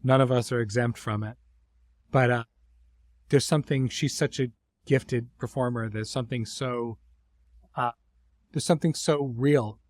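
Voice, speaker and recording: A man hosting a conversational interview, speaking thoughtfully in phrases broken by pauses and restarts. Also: mid-range voice, dry recording. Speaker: host, series creator.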